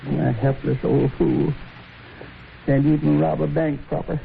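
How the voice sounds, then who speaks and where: A proud, cantankerous old-timer in a radio western. His voice is gravelly and a bit high, his speech folksy and halting. It comes through a tinny 1950s recording. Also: flat delivery, slight background noise. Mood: defeated, pleading. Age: older. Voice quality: creaky. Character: proud, ornery, crippled old frontier man.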